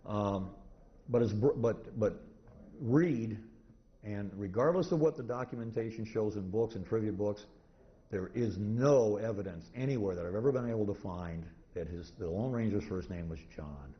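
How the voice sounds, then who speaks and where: A man giving an informal, rambling lecture to an audience, halting and restarting often as he goes. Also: lowish voice. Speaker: convention presenter, radio historian. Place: indoor convention talk room. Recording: muffled, noisy live convention room recording.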